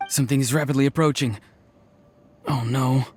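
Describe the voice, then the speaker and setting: An actor playing a polite, earnest and somewhat secretive youngster in a video game, hesitant and apologetic in manner. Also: male voice, mid-range voice, steady tone, moderate pace, background noise, close to an everyday voice. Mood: uneasy. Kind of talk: English game voice acting.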